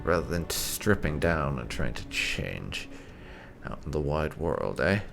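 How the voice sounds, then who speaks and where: A man's voice: very deep voice, very animated, a little slow, gravelly, fairly bright tone, faint music behind. A man hosting a gaming video, giving casual let's play commentary in a wry, chatty way.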